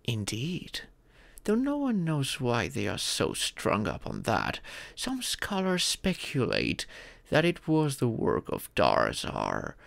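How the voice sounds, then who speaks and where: A man voicing a wise, fatherly male dragon who patiently teaches in a measured, formal storytelling manner for a roleplay audio. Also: mid-range voice, vocal fry, fairly bright tone, dry recording, exaggerated voice.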